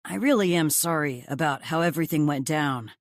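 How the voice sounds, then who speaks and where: A man's voice: a little high, fairly quick, no room sound, clean studio sound, lightly acted. A man playing a playful, apologetic brother in his late thirties in a romance audiobook. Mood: sheepish, fond. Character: thirty-nine-year-old playful, cheeky, apologetic older brother.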